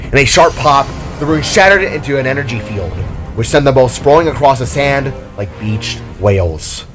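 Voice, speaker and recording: A man's voice: mid-range voice, expressive, normal speed, a bit bright. A man reading scripted, dramatic story narration. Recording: music and rain under the voice. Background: music, rain and thunder.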